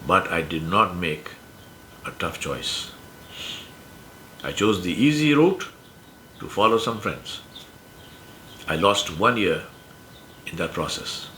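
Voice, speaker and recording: A man in his sixties from India with an Indian accent, Urdu his first language, giving a measured, emphatic reflective monologue. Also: low-pitched, expressive, sharp tone, a little hiss or hum. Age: 60s. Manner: measured, emphatic, uses metaphors. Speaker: speaker sharing life lessons.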